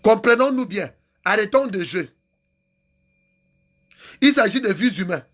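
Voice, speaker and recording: Male activist, unusually high-pitched, angry and insistent. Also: lively intonation, unhurried, fairly bright tone. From Cameroon. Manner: angry, insulting, repeats phrases for emphasis. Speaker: activist vlogger. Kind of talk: impassioned political rant.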